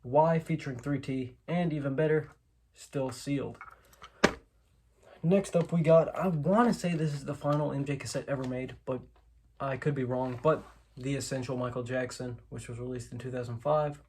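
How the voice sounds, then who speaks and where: Man, medium pitch, casual show-and-tell host, informal, self-correcting, noisy recording with clicks. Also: fairly even intonation, normal speed, dark tone. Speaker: host, collector showing their collection. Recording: clear background noise with clicks and clatter.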